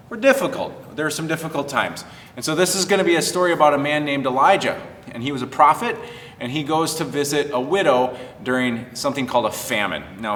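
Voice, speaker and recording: A man's voice: mid-range voice, a bit bright, slight background noise. Man talking to kids in a reverberant room. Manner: simple, explanatory address to children. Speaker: pastor and children's storyteller. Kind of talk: casual children's storytime talk.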